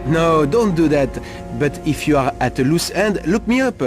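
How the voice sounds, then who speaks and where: An actor playing a sleazy, nervous Paris street informant in a video game cutscene, talking in a halting, insinuating way. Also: male voice, fairly high pitch, animated, over music, lightly acted. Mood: mocking, oily.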